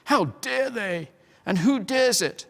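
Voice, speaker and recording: Man doing a rash noble king for a storybook, commanding, gravelly, long reverb. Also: high voice, expressive, slow, fairly bright tone, voice pushed up, exaggerated voice. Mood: outraged. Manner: commanding, indignant.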